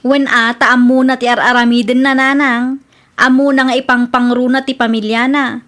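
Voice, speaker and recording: A woman's voice: sits high, little pitch movement, normal speed, a bit bright. A woman playing a blunt, complaining wife fed up with a drinking husband, in a radio drama. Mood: matter-of-fact. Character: exasperated, plain-spoken wife of a drinking man. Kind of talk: Ilocano radio drama acting.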